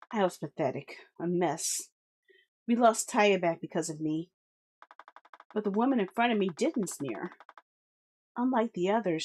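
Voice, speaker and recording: A woman voicing a young female captive who narrates in a low, subdued, introspective tone. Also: natural voice.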